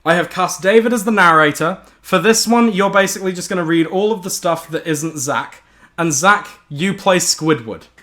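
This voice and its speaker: A man's voice: high-pitched, moderate pace, sharp tone. A man hosting a casual group chat, directing his friends in a slightly hesitant way. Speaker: host organising a group story reading.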